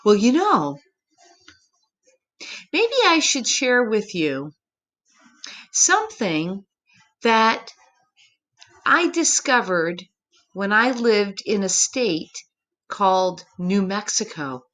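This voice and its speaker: A woman leads story time for small children at a very unhurried pace. Her medium-pitched voice rises and falls widely as she speaks in simple phrases and puts gentle questions to her young listeners. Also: very animated. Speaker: storyteller reading a picture book to children.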